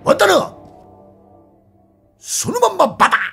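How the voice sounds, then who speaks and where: A man voicing a rough, bullying gangster henchman in a traditional Japanese tale, with coarse, threatening street talk in an old Tokyo dialect. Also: extremely high pitch, sing-song, measured pace, exaggerated voice. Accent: Edo Tokyo dialect. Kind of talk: traditional Japanese stage storytelling. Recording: music behind the voice.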